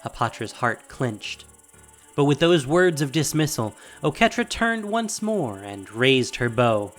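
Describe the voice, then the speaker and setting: A man with a mid-pitched voice narrates dramatic fantasy as a scripted story. Faint music and insect sounds sit behind him. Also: big pitch swings, a little slow.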